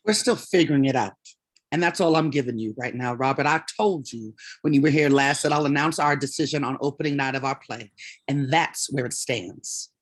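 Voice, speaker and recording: A woman's voice: rumbling low, fairly quick. Woman acting a blunt, impassioned theater company leader. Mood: firm. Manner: blunt, emphatic speech-making. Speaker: actor in a staged reading. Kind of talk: staged play reading.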